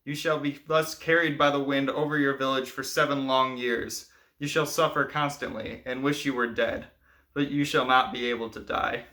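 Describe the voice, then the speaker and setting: A cruel storybook magician, read by a male narrator, speaks with a bright, forceful edge at middle pitch. He is commanding and menacing, used to making demands of the helpless. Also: fairly even intonation, normal speed, lightly acted.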